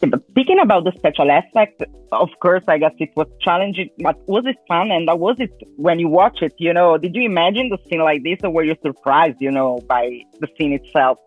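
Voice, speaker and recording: A woman's voice: lowish voice, brisk. Tinny phone-like audio, woman, interviewer asking questions, faint music. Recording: thin phone-like sound, music behind.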